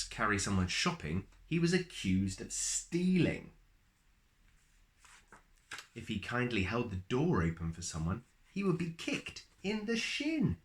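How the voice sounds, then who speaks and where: A man reading a children's picture book aloud in an expressive storyteller's manner, with dramatic pauses and drawn-out words. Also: on the low side.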